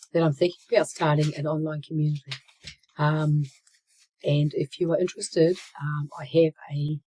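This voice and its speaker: Woman in her 60s, hoarse from a cold, raspy croaky voice, lots of ums and restarts, slips into a whisper now and then. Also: low voice, a little mellow, low-quality recording. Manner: hesitant, many fillers and restarts, drops to a whisper at times. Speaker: channel host. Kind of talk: casual vlog talk.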